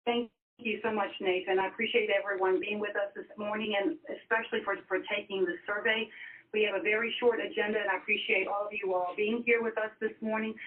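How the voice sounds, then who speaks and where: A woman speaking in a courteous, orderly way as she chairs a formal committee meeting over a conference call. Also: mid-range voice. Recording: dull conference call with noticeable noise.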